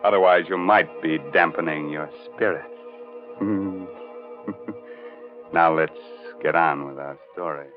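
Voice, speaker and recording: A man's voice: low voice, slow pace, pauses often. A man playing a wisecracking old bachelor who hosts a 1940s radio horror show with theatrical, mock-sinister puns. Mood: macabre, playful. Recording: dull old 1940s radio broadcast, organ music.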